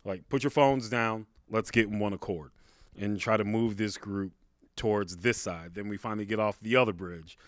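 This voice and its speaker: A man with a big voice, a podcast guest, telling a personal story in a casual, halting, conversational way. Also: fairly low pitch, no echo, studio quality. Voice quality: big, carrying voice. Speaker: podcast guest, stand-up comedian.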